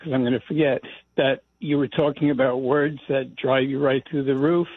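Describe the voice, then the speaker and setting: Older american guy phoning a radio show, gravelly voice, chatty, thin phone sound. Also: medium pitch, fairly even intonation, normal speed, a little hiss or hum. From New Jersey, United States. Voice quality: gravelly, husky. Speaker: phone-in caller. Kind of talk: casual chat. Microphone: telephone. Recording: narrow tinny phone line.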